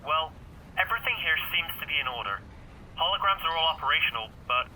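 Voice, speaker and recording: Man acting a sharp, very bright warrior brother. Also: on the high side, animated, fast, slight background noise, poor audio. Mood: steady, concerned. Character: dutiful younger brother, armored warrior scout on comms. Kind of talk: audio drama acting.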